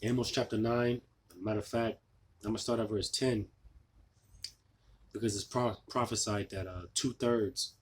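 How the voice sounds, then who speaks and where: Low hoarse man preaching in halting bursts. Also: sharp tone, a little hiss or hum. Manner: halting, many fillers and restarts. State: losing his voice. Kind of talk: informal sermon video.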